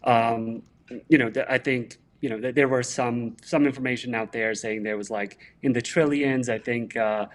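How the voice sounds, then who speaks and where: A man's voice: mid-range voice, steady tone, normal speed. A man from Bosnia speaks as an interview guest in a mid-range voice. He explains technical ideas steadily and conversationally, in a friendly tone. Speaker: guest, tech director.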